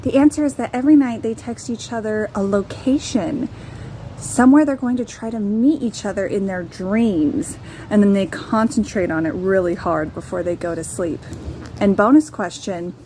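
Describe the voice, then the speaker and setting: A woman's voice: medium pitch, normal speed, mellow, a little hiss or hum, low-quality recording. A children's author, a woman, asks a trivia question in an upbeat, cheerful way. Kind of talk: casual trivia presentation.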